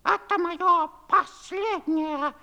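An actress voicing a cranky, terse umbrella with a parrot's head, squawky and abrupt, in a 1960s audio play. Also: female voice, very high voice, extremely slow, heavily acted. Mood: grumpy.

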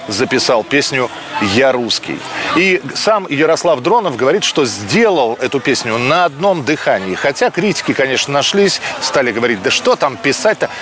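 A man's voice: medium pitch, big pitch swings, normal speed, noisy background. A man working as a field reporter who introduces segments and asks a guest questions in a friendly manner, addressing listeners as friends. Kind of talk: hosted show segment and interview questions. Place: outdoors at a festival.

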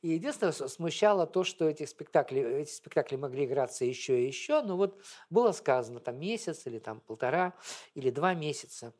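A man's voice: on the high side, expressive, brisk, a little mellow. A man giving an informal lecture on theatre history, talking in a rambling, digressive, conversational way full of anecdotes.

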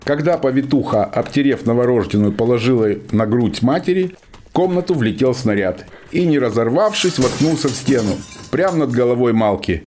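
A man narrating a scripted radio play in a dramatic storytelling manner, with deliberate pauses. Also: medium pitch, lively intonation, a little mellow, a little hiss or hum. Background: music.

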